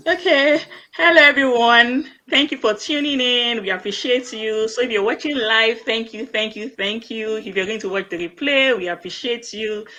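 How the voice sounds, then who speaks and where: A woman's voice: fairly high pitch, moderate pace, few pauses, pushed and bright. A woman, a doctor on a live online panel, explaining plainly and repeating key phrases. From Nigeria. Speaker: medical doctor, panel guest.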